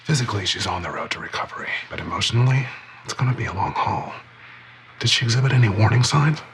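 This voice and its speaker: An actor playing a hospital doctor, measured and professional. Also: male voice, lowish voice, lively intonation. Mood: clinical. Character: calm clinical hospital doctor. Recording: TV clip with clear background noise.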